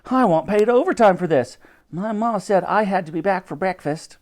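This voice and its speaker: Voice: medium pitch, expressive, moderate pace, a little mellow, pitched above the performer's own voice, close to an everyday voice. A storybook narrator voicing panicky, grumbling working-class London policemen in colloquial exclamations. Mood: indignant.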